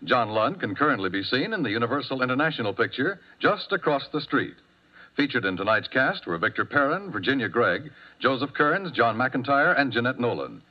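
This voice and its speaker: A male announcer reads credits in a formal, lively voice that swings up and down. It comes through the dull, narrow sound of an old radio transcription. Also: medium pitch, a little fast.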